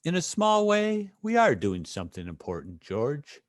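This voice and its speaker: A man's voice: on the high side, huge pitch swings, normal speed, no echo. Radio play: man as tired, principled father, wistful, varied intonation, dry sound. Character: tired, gentle, principled middle-aged father. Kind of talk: old-time radio play acting.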